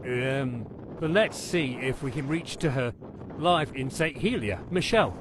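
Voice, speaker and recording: A man playing a TV news anchor covering a storm disaster, halting and stumbling over his words. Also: expressive, natural voice. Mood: grave, halting. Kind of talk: amateur mock breaking news report. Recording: noisy, windy background rumble.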